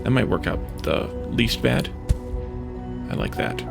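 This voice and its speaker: Gravelly-voiced man musing through game commentary. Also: medium pitch, expressive, a little slow, music in the background. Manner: hesitant, musing, self-questioning. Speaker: let's play host. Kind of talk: casual gameplay commentary, thinking aloud.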